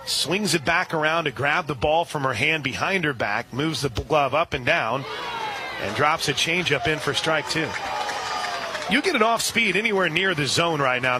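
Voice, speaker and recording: A man calling a softball game live on radio, steady between pitches and surging loud and high on big plays. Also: noisy background. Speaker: radio play-by-play announcer.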